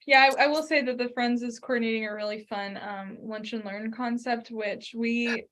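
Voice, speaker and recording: A woman speaking casually at a board meeting, relaxed and chatty with quick asides. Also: a little high.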